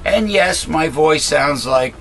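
A middle-aged man with a raspy voice, talking casually to a webcam from a small cluttered room at home, rambling with many fillers and restarts as he airs blunt opinions. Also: on the high side, takes it slowly, a bit bright, slight background noise. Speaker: host of a political commentary channel. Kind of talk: casual monologue, talking to camera.

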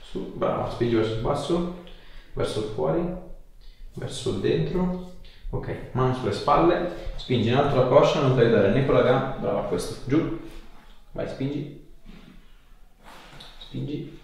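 A man's voice: mid-range voice, measured pace, gravelly, pauses often, darkish tone. Chiropractor man in an echoey room. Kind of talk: casual instructions to a patient during an exam. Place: small indoor clinic treatment room. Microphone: small wireless mic clipped on chest. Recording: echoey treatment room, faint noise.

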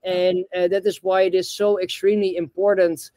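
A man's voice: high-pitched, little pitch movement, normal speed, warm tone, no room sound, clean studio sound. A young man from the Netherlands on a video call, talking hesitantly with lots of fillers and restarts as an interview guest. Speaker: guest, bamboo reforestation founder. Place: indoor room.

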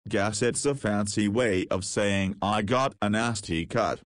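Man acting a slow, low-voiced cocky young guy. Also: no room sound, natural voice. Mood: cocky, wry. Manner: rambling, wry, slangy. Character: cocky, crude nineteen-year-old young man, rambling to himself. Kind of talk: visual novel voice acting.

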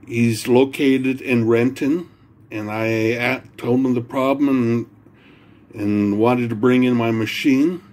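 A man casually explaining a simple home repair, talking plainly and haltingly with fillers and repeats. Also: mid-range voice, fairly even intonation, a little mellow. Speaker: home repair video maker.

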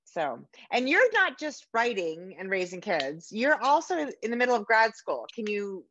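A middle-aged woman hosting a conversational interview over a video call, halting as she searches for her words. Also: medium pitch, very animated, fairly bright tone. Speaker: interviewer, podcast host. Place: home room, on a video call. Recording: slightly dull video-call audio.

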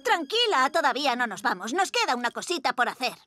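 A woman's voice: high voice, sing-song, fast talker, over music. A woman playing a bold, supportive young boy cat superhero in a dubbed children's cartoon, speaking emphatically.

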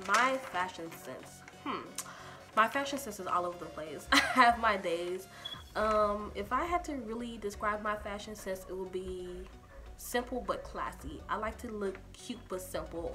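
A woman's voice: medium pitch, pushed and bright. A young woman from Texas with a Liberian accent, chatting through a get-to-know-me Q&A with lots of ums and giggles, filmed indoors in a small room. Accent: Liberian English. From Texas, USA. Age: young adult. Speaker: YouTuber.

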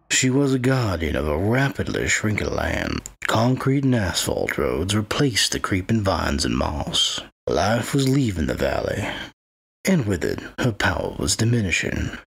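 An actor playing an ancient, menacing undead wight, telling his dark fantasy tale in a brooding, deliberate, theatrical way. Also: on the low side, creaky, breathy edge, full character voice. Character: ancient undead body-stealing wight, cold, menacing, patient. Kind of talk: dark fantasy audio drama narration.

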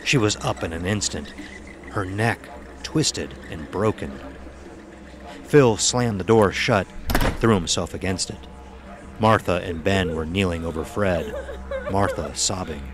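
Slow, very deep male horror narrator. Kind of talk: scripted horror audio drama narration.

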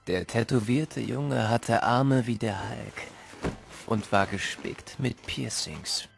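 A man's voice: medium pitch, slow, creaky. A man narrating a fanciful Christmas tale in the first person, as scripted audio drama storytelling. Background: loud music.

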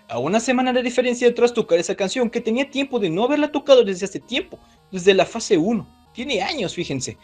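High-pitched, animated male YouTuber. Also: husky. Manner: chatty, addresses viewers directly. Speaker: YouTube host. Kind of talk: casual scripted video commentary.

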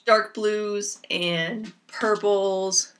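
A young woman hosting a knitting podcast from home, chatting casually to her laptop with lots of ums, her voice a little raspy from a lingering cough. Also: medium pitch, slight background noise. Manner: chatty and rambling, with many ums. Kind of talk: casual chat to camera. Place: small room at home, indoors. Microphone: laptop camera mic. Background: rain outside.

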